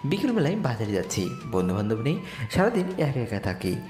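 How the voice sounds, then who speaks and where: Echoey, lively young man telling his story as an actor. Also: mid-range voice, fairly quick. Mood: narrating, earnest. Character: poor young slum boy, humble, earnest, narrating his story. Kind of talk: romantic audio story narration and dialogue. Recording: echoey reverb with music bed. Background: music.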